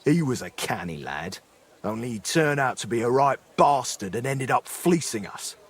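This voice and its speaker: A middle-aged ex-blacksmith in a game, sorry and earthy, husky voice that swings up and down a lot. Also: male voice, medium pitch, very animated, moderate pace. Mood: rueful, earthy. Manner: earthy, colloquial, apologetic. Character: remorseful middle-aged former blacksmith turned miner, rueful and earthy. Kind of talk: dramatic game dialogue.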